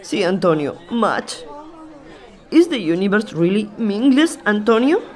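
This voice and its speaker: A woman playing a sharp-tongued, deadpan and menacing village woman in a scripted comedy radio play. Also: mid-range voice, moderate pace, some chatter behind, natural voice. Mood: gloomy, dry. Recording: noisy, with clicks and mains hum.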